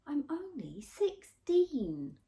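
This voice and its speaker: A woman's voice: high-pitched, huge pitch swings, drawn-out words, mellow, pitched above the performer's own voice, full character voice. A woman voicing a stubborn, earnest sixteen-year-old girl in a storybook, sulky and protesting. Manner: protesting, mumbling.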